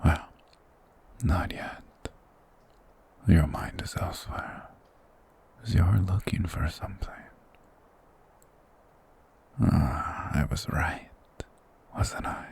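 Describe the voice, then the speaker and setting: Casting: male actor for an eerie, courteous woodland creature in fantasy ASMR, extremely low, breathy and whispering, with formal, softly persuasive phrasing. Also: slow pace, pauses often, exaggerated voice. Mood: softly probing. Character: mysterious soft-spoken male monster hermit of the woods, courteous, eerie.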